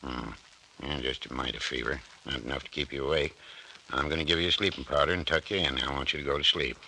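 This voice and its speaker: Low, crusty country doctor. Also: male voice, fairly even intonation, fast, gravelly, bright, forceful tone, heavily acted. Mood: soothing, fussy. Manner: grumbling, folksy, scolding. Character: crusty, fussy, kindly country doctor, male. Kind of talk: old-time radio drama acting. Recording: noisy vintage radio recording.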